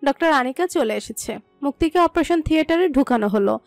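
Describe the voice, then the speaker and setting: A woman narrates a scripted dramatic story. Her voice is high and sits in a fairly dry recording, with soft music behind her. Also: animated, brisk, no room sound.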